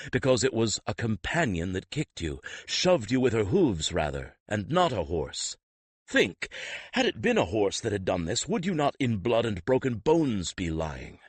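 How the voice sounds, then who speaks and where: Man voicing a harsh old swordmaster, rasping, very deep, husky, stern. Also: dry recording, full character voice. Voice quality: rasping, clipped inverted phrasing. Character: stern older male weapons master, harsh.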